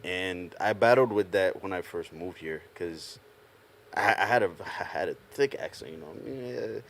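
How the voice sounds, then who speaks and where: A young man from Ghana, a panel guest telling animated stories in a casual group chat, often laughing as he talks. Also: low-pitched, measured pace, vocal fry. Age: young adult. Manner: animated storyteller, laughs through anecdotes.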